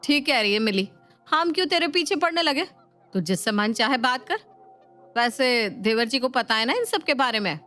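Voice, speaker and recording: A woman's voice: high voice, animated, normal speed, pushed and bright. A woman voicing a bossy, overworked elder sister-in-law who scolds in colloquial speech in a family storybook. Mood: stern, hurried. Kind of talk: dramatised Hindi family storybook. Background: music.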